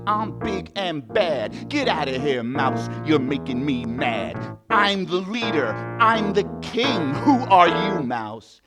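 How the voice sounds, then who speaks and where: A man voicing a big, bad, boastful lion king who is quick to anger, blustering and taunting in a story for children. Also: mid-range voice.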